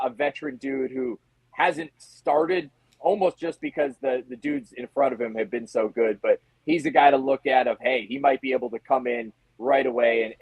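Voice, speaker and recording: A man with a creaky, husky voice gives casual sports analysis as an interview guest. His pitch sits in the middle, and the sound is dry and close. He talks conversationally, tossing in rhetorical questions as he goes. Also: no echo, rough recording.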